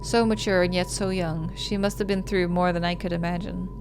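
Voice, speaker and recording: A woman's voice: fairly low pitch, fairly even intonation, fairly quick. Woman narrating a visual novel, noisy background. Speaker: gaming video host. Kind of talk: dramatic read-aloud narration of a visual novel. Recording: noise behind the voice.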